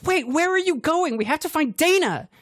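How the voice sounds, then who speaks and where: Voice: mid-range voice, expressive, normal speed, no echo, studio quality, pitched above the performer's own voice, natural voice. A narrator voicing a bossy, foul-mouthed young woman who barks orders and snaps at others. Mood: furious. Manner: sharp, commanding, swearing.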